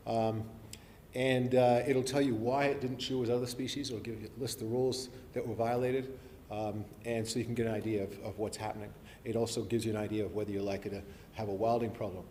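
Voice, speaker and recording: A man's voice: on the low side, little pitch movement, a little fast, warm tone. A middle-aged man from New Zealand with a mixed, not typically Kiwi accent, giving a chatty, self-deprecating talk to an audience, full of ums and restarts. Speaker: forestry professor giving a talk. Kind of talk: live presentation to an audience. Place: lecture hall. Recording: live hall recording with reverb and faint hiss.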